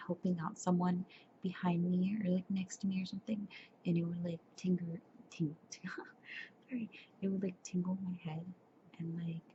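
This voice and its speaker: Young woman, sleepy soft-spoken ASMR reader, stumbles and self-corrects; dull webcam sound. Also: fairly low pitch. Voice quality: soft, breathy. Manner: stumbles and corrects herself while reading. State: tired, sleepy. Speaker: ASMR creator. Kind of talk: soft-spoken ASMR chat and reading aloud. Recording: dull lo-fi webcam audio with faint hiss.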